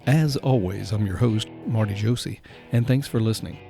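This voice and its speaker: A man's voice: fairly low pitch, normal speed, creaky, mellow, soft background music. A man hosting a podcast, reading a scripted intro and then chatting with his guest in a friendly way with fillers. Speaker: podcast host.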